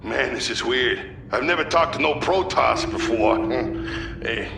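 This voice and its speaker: An actor playing a gruff human engineer in a sci-fi game, talking in an informal, awkward, blue-collar way over a transmission. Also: male voice, mid-range voice, animated, measured pace. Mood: awkward, friendly. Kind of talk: casual sci-fi game character acting. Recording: noisy, echoing, distant transmission.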